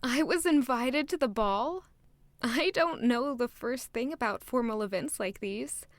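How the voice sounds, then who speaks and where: A woman's voice: on the high side, lively intonation, measured pace, no room sound. A woman playing a humble, lovelorn young castle maid who narrates her own story in an audio drama. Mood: surprised, wistful.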